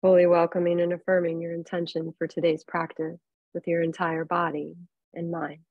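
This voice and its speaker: A woman leads a guided relaxation as a meditation teacher. Her voice sits somewhat low, and she speaks in an unhurried, soothing way, leaving long pauses between phrases. The sound is very dry, free of room reflections. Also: little pitch movement, no echo, narrow, tinny audio. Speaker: yoga nidra meditation teacher.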